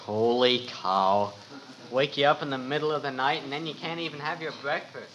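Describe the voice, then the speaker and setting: An actor playing a sleepy, grumbling, food-obsessed teenage son in a vintage radio comedy. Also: male voice, mid-range voice, normal speed, lightly acted. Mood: drowsy, grumbling. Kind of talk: 1950s radio family comedy acting. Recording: dull, noisy vintage radio recording.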